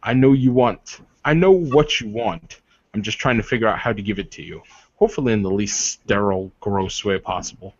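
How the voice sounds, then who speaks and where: A man playing a proud, commanding werewolf warrior leader in a tabletop game, speaking earnestly and formally. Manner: solemn, deliberate, formal phrasing. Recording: dull, roomy low-fidelity voice recording.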